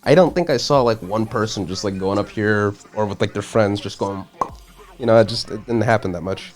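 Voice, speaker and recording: A man's voice: lowish voice, fairly quick, faint music behind. A man co-hosting a live-streamed talk show, chatting casually, swearing a lot and filling his talk with "like". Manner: swears often, heavy use of "like". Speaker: podcast co-host. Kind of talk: casual chat.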